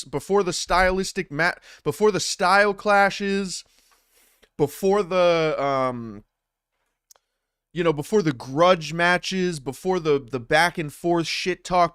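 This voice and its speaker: Male livestreamer, rambling casual talk, slightly high voice, dry close-mic sound, home studio setup. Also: a bit bright, clean tone, dry recording. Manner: loose, rambling, restarts often, says "man" and "bro" a lot. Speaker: streamer and battle rap commentator. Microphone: studio dynamic mic through audio interface.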